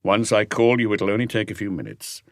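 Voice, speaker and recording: A man voicing a calm police inspector in an audiobook, questioning in a measured, quietly firm and probing way. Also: lowish voice, no room sound, clean studio sound.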